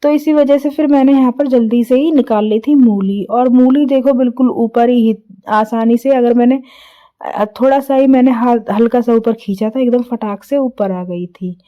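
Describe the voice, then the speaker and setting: A woman vlogger with a sore, hoarse throat, narrating her daily chores in a chatty, homely voice-over full of fillers. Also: fairly high pitch, normal speed, muffled recording. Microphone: recorded voice-over.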